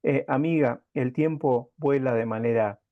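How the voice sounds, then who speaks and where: A man's voice: medium pitch, measured pace, dry recording. A man hosting an interview in a conversational style, recorded with dull, lo-fi call-like audio. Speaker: interview host.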